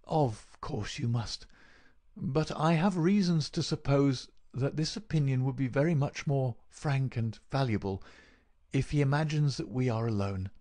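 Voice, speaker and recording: A man voicing an ailing male detective in an audiobook, feeble but lucid, crisp and masterful. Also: medium pitch, unhurried, warm tone, pitched above the performer's own voice, natural voice. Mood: crisp, weak. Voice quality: feeble, slightly raised. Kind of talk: audiobook character voice.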